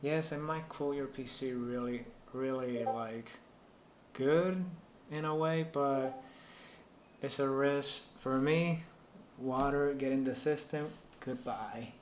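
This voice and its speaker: A man from Puerto Rico, a native Spanish speaker, hosts a casual tech stream in a roomy, echoey space. His medium-pitched voice rambles as he thinks aloud, with faint noise underneath. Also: slow. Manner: rambling, thinking aloud while reading chat. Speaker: live stream host giving PC troubleshooting advice. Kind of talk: casual live stream chat.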